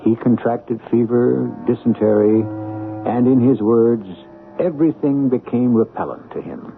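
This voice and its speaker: A man hosting a 1970s radio drama with scripted narration in a gravelly voice. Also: mid-range voice, a little slow. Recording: narrow-band radio broadcast over music. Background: music.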